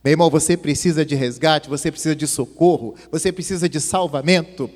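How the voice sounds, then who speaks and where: A man's voice: fairly high pitch, fairly quick, a little mellow. A male pastor preaching an impassioned sermon, his voice swelling and dropping. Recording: long hall reverb.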